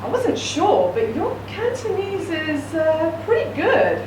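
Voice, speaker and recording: A woman's voice: extremely high pitch, huge pitch swings, slow, pitched above the performer's own voice, heavily acted. A woman voicing a Hong Kong Chinese woman, an old friend, who speaks casually and hesitates at times. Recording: echoey room, distant mic, noisy.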